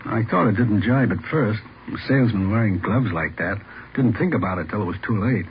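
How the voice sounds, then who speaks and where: An actor playing a plain-spoken market owner who was robbed, in an old radio drama. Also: male voice, fairly low pitch, animated, brisk. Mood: shaken, apologetic. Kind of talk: 1950s radio drama acting. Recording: dull old radio, faint noise.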